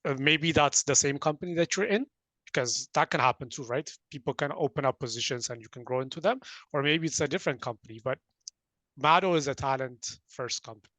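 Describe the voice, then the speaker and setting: A man from Ethiopia hosting a casual interview, hesitant with frequent restarts, then bursts of animated laughter. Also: medium pitch, a little fast, no echo. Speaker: interview host, talent company founder.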